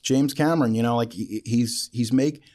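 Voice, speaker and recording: A man's voice: mid-range voice, normal speed, mellow. A man hosting a podcast in a casual, joking way, rambling and self-deprecating, with the odd casual swear word. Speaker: podcast host interviewing a filmmaker.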